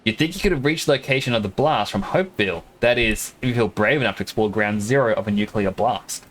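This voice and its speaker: A man's voice: fairly low pitch, quick pace, a bit bright, a little hiss or hum, poor audio. A man from Australia with an Australian accent blended with some American, chatting casually and explaining things at length while streaming a game. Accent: Australian, mixed with American. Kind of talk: casual live-stream commentary.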